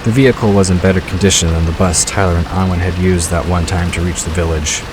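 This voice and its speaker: A man's voice: low voice, fairly even intonation, fast, noisy background. A man reading scripted story narration as the narrator of an audio drama. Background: rain and vehicle sound effects.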